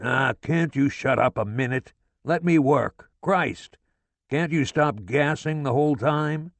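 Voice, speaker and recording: A man voicing a furious, foul-mouthed middle-aged father who rants and shouts curses at his family. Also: fairly high pitch, a little slow, no room sound, pitched above the performer's own voice. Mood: exasperated ranting.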